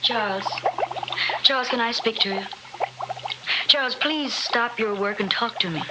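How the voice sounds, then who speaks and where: An actress playing a frightened, embittered middle-aged wife in a 1960s radio horror play, intense and pleading. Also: female voice, on the high side, a little slow, close to an everyday voice. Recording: slightly hissy old radio recording.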